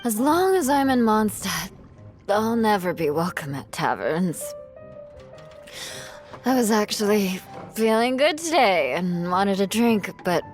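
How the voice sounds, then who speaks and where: A woman playing a tipsy young noblewoman knight in a video game, proud and guarded, with a halting, wistful manner. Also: mid-range voice, very animated. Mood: tipsy, melancholy. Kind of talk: animated video game acting. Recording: soft game music behind the voice.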